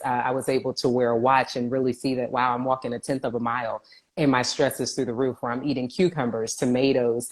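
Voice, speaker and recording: A woman physical therapist talking earnestly as a guest in a casual live video interview. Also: very deep voice. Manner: earnest, explanatory. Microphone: video call.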